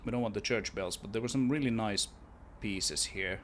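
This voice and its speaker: A man's voice: on the low side, normal speed, fairly bright tone, a little hiss or hum. A man with a Swedish accent, a game developer chatting casually on a livestream and thinking aloud as he works, often repeating words. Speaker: solo game developer streaming. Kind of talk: casual livestream chat while working.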